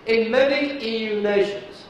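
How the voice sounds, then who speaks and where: A middle-aged British man giving a keynote talk on a large stage, deliberate and emphatic, with some fillers. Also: very high voice, lo-fi sound, a little hiss or hum. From United Kingdom. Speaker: keynote speaker. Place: large dark stage before an audience. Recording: echoey hall from a distance.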